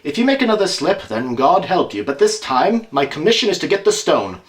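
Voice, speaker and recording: A man playing a brisk, confident, teasing detective in an audio drama, with quick, theatrical speech. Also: on the high side, very animated, slight background noise. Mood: reasoning, firm.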